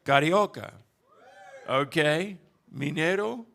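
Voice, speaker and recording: Folksy, chuckling pastor from Texas. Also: male voice, a little high, lively intonation, vocal fry, fairly bright tone. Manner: folksy, chuckling, halting restarts. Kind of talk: sermon with live interpreter. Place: church auditorium.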